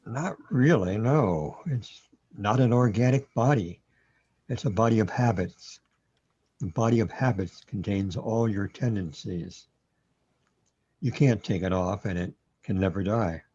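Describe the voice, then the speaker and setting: Man playing a slow, husky, wry guide. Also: on the low side, warm tone, highs cut off. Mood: matter-of-fact. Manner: unhurried, matter-of-fact. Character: calm, wry metaphysical guide in a surreal theater. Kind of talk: radio play acting.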